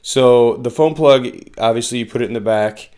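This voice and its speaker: A man giving a casual product review in a halting way, often pausing mid-phrase. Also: mid-range voice, darkish tone, clean tone. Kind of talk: semi-scripted casual review.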